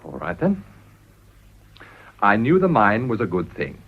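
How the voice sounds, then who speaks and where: A man's voice: fairly low pitch, wildly swooping pitch, measured pace, full character voice. An actor playing a ruthless, desperate middle-aged businessman in a radio drama, with a British accent and a blustering, wheedling delivery. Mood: persuasive. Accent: British English. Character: ruthless, desperate, smooth-talking male businessman and political climber. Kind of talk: radio play acting. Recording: dull, muffled old radio recording.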